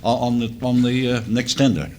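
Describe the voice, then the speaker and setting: A man in his sixties from Australia, a former radio DJ telling a halting, filler-laden story at a panel talk. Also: mid-range voice, steady tone, a little mellow, slight background noise. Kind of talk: reminiscing panel chat.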